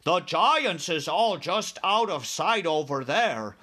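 A man acts out a friendly, earnest giant from a children's storybook. The voice is raised and very animated, with a bright edge. The talk is folksy and muddled, full of playful made-up words. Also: fairly high pitch, slow pace, husky, heavily acted. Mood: earnest caution.

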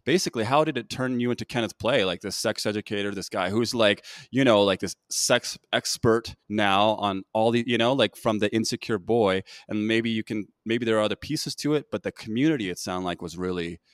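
Male host, very low voice, loose rambling talk, dry close sound. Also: brisk, hardly any pauses, no room sound. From United States. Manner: rambling, many restarts and fillers. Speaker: podcast host. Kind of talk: casual interview chat.

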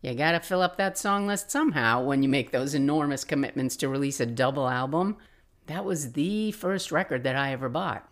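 A woman in her sixties narrating personal memories as a podcast host, reflective and expressive with wry asides. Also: a bass voice, fairly quick. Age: 60s. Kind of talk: personal memoir storytelling, scripted narration.

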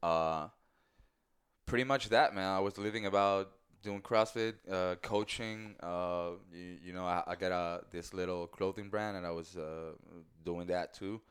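A man from Ecuador with an Ecuadorian Spanish accent, a guest chatting easygoingly in English with halting restarts. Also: low-pitched, clean tone. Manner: easygoing, halting, laughs often. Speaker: guest, athlete and coach. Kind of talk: casual interview chat.

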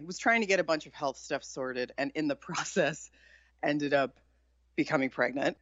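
A woman with a low voice, a chef and author, talking candidly and without much pep as a guest in a casual interview. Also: a bit bright, no room sound. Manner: low-key, not chipper, candid. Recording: dull lo-fi sound.

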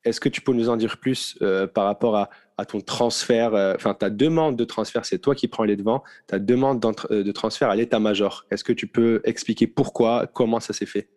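A man hosting a podcast, setting up long interview questions in a measured way with some fillers. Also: on the low side, steady tone, a little mellow. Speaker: podcast host.

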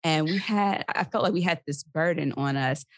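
A young woman from Mississippi interviewing a guest, speaking reflectively and earnestly, often pausing to search for words. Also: low voice, no echo, clean studio sound. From Mississippi, USA.

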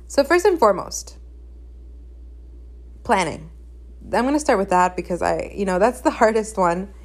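A young woman hosting a podcast in a casual, candid chat, repeating phrases for emphasis, a native Spanish speaker. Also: a little high. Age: young adult. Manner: chatty, candid, emphatic with repetition. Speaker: podcast host.

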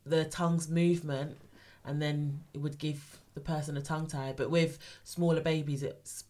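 A woman with a blocked nose, calmly explaining health facts on a radio chat show. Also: deep voice, normal speed.

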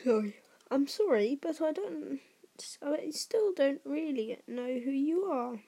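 A woman's voice: sits high, lively intonation, takes it slowly, dark tone, pitched above the performer's own voice, exaggerated voice. A woman voicing a polite, puzzled young boy who talks hesitantly and questioningly in a storybook reading. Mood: hesitant, apologetic.

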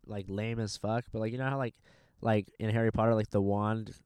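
A young man hosting a casual podcast, relaxed and profane, calling everyone dude. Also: fairly low pitch, brisk, clear, resonant tone, dry recording. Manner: laid-back, swears a lot, says dude constantly. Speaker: podcast host and stand-up comic. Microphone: handheld microphone.

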